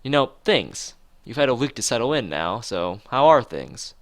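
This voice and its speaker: Man voicing a scatterbrained male teacher, lively and swooping. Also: medium pitch, normal speed, no echo, clean studio sound. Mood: probing, awkward. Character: slightly scatterbrained, earnest male school teacher, vague and rambling.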